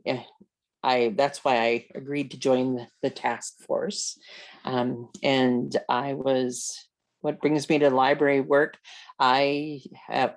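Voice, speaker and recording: An older woman with an American accent introduces herself informally on a video call, speaking haltingly and often rephrasing. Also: extremely low pitch, a little mellow. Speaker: library board commissioner, former school librarian. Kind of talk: informal self-introduction in an online meeting.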